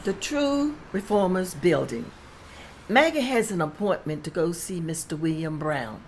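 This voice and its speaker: A woman's voice: fairly low pitch, expressive, a little slow, a little mellow, slight background noise. Woman reading stage directions. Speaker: narrator.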